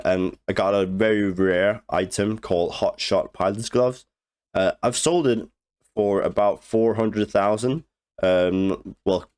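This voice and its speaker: A young man with a head cold talks through a video game walkthrough. His voice sits a bit low and sounds rusty and congested. He speaks in a loose, halting way, full of fillers and pauses to clear his throat. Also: a little mellow. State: hoarse with a cold, blocked nose. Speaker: game guide creator. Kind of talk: casual gameplay walkthrough commentary.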